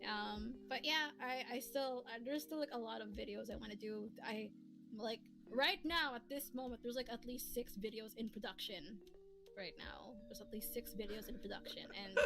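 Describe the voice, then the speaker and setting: A woman's voice: fairly high pitch, normal speed. A woman hosting a casual livestream, chatting in a giggly, self-deprecating way. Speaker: streamer, channel host. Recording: music playing behind the voice. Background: background music.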